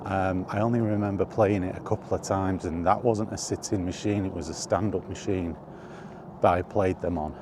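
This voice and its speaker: A middle-aged man chatting casually and reminiscing in a halting, thinking-aloud way, in short rambling phrases. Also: sits low, fairly even intonation, noisy background. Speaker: video creator and host. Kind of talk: casual reminiscing monologue about retro games. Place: outdoors while walking.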